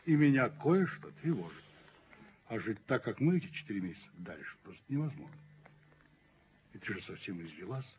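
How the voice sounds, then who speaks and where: An actor playing a genteel, controlling middle-aged father in a radio play, measured, earnest and persuasive. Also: lowish voice, very animated, a little hiss or hum. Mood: earnest, persuading. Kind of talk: radio play stage acting. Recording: muffled 1970s radio drama recording.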